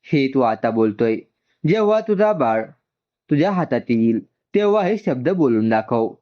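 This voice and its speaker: A man's voice: medium pitch, sing-song, moderate pace, lightly acted. A man voicing a firm, demanding middle-aged mother in a told story, speaking insistently. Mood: firm insistence. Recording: dull, muffled lo-fi sound.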